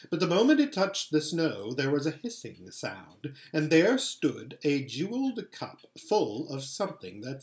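A middle-aged man reading a storybook aloud in a measured, expressive way. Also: fairly high pitch. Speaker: pastor reading books aloud. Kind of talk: storybook read-aloud narration. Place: furnished living room indoors. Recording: roomy home webcam sound.

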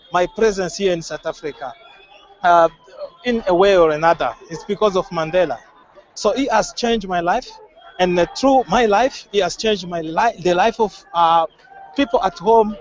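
A man with a Congolese accent and a high voice speaks in a street interview. He is earnest and reflective, often pausing to find the next phrase. The sound is slightly dull, recorded outdoors on a handheld microphone. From Democratic Republic of the Congo. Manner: earnest, hesitant, halting phrasing. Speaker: member of the public being interviewed. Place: outdoors at a crowded street gathering. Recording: muffled, band-limited outdoor field audio.

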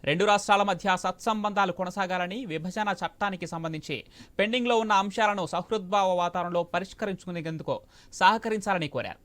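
A man's voice: high-pitched, a little fast, fairly bright tone. A man working as a news anchor, reading reports and putting questions to a correspondent.

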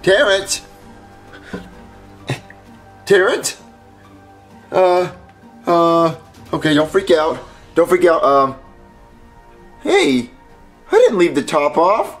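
A man's voice: high voice, very animated, extremely slow, pauses often, faint music behind, exaggerated voice. A man voicing an anxious, naive little boy puppet in a scripted comedy, hesitant and stammering. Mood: nervous, worried. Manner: hesitant, stammering, repeats phrases when worried.

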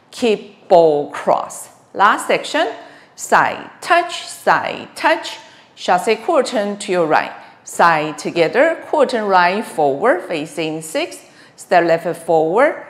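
A middle-aged woman instructing a line dance step by step, calling out moves and counts in short, clipped phrases. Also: mid-range voice. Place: small bare room with wooden floor.

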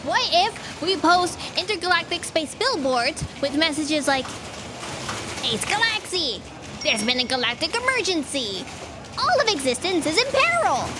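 A young woman playing an eager, excitable female aide in a staged comedy, gushing and over-eager. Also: very high voice, measured pace, noisy background, exaggerated voice. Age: young adult. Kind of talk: staged comic audio drama acting. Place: theatre stage before an audience. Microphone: stand microphone.

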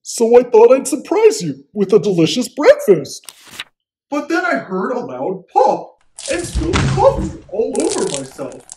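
A performer playing a genial, very animated storybook dad. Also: mid-range voice, unhurried, echoey room, a little hiss or hum, pitched above the performer's own voice, low-quality recording. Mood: genial, playful. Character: genial, playful dad of a young boy. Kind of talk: acted children's story dialogue.

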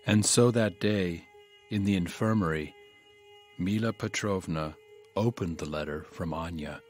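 A man narrating an audio drama in a measured, scripted storytelling manner. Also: sits low. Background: music.

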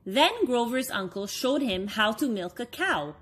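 A woman in her thirties reading a children's storybook aloud, expressively and with deliberate phrasing for young listeners. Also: on the high side, very animated, fairly bright tone, a little hiss or hum. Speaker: story time reader for children.

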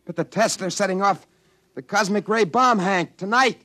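An actor playing a desperate American test pilot in a 1950s radio drama, urgent, pleading and insistent. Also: male voice, sits high, normal speed, pushed and bright. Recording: old 1950s radio broadcast.

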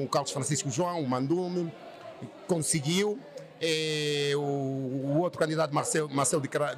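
A man from Angola with an Angolan accent, giving a spontaneous interview in a halting, repetitive, emphatic way. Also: fairly high pitch. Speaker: interviewed community member. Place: indoor hall with draped curtains. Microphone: handheld interview microphone held by interviewer.

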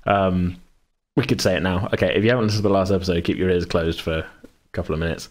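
A man's voice: low-pitched, fairly even intonation, fast. A man talking casually as host and game master, in a rambling, hesitant, self-correcting way. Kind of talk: casual chat about a tabletop game.

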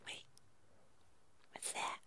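A woman doing a gentle, pampering ASMR roleplay, speaking with many pauses. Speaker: ASMR performer. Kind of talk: whispered ASMR personal attention roleplay.

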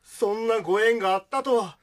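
A man's voice: high-pitched, brisk, lightly acted. A man playing an earnest, gallant young suitor with a Kansai accent in a radio drama. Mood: earnest, grateful.

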